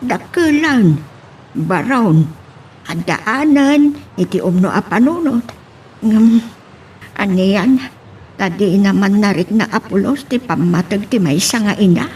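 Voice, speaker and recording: A woman with an Ilocano accent playing a gentle, sorrowful older mother in a radio drama. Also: mid-range voice, animated, slow, pauses often, a little hiss or hum. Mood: sorrowful pleading.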